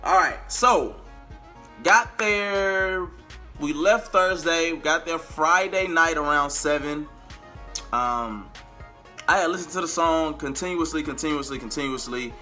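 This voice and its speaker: An American man telling a story in a casual, slangy vlog style, chatty and animated, with drawn-out emphasis and repetition. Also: a little high, faint music behind. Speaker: vlogger and amateur singer.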